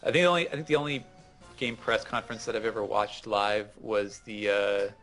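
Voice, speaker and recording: A man co-hosting a gaming show, chatting casually and explaining things with hesitant pauses and fillers. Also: lowish voice, steady tone, a bit bright, faint music behind. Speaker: gaming co-host. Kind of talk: casual chat, game advice.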